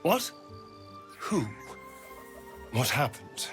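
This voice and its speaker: A haunted, centuries-old vampire spawn from a fantasy game. He is sardonic and dramatic, swinging widely in pitch for emphasis, with music underneath. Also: big pitch swings, very slow, fairly bright tone, heavily acted. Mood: startled, bitter. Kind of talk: video game character acting.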